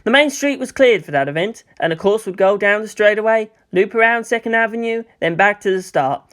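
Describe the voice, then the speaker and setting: Expressive narrator with very lively pitch. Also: brisk. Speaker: audiobook narrator. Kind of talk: scripted narration of fan fiction.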